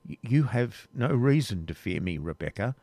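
A man's voice: mid-range voice, big pitch swings, creaky, dark tone, no room sound. Man reading as a grave medieval knight. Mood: tense. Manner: grave, measured. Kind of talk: audiobook reading, period novel.

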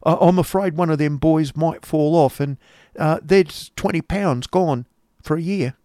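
A man voicing a coarse, oily, sly schoolmaster from a storybook, with a rough, hesitant drawl. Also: fairly high pitch, measured pace, mellow, no room sound, studio quality, voice pushed up, natural voice. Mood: oily, jovial.

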